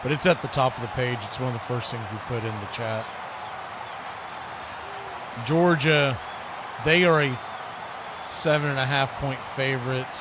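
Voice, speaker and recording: A man's voice: moderate pace, pauses often. A man doing live football play-by-play and chat as the host of a broadcast. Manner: excitable, shouts on big plays, drops low in asides. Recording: crowd and game noise behind the voice.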